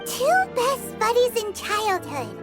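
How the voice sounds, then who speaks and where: A woman voicing a tiny, chirpy, cheeky fairy companion with a squeaky childlike voice in a video game. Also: sing-song, takes it slowly, heavily acted. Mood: wry. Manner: exclaiming, wry commentary. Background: loud playful game music.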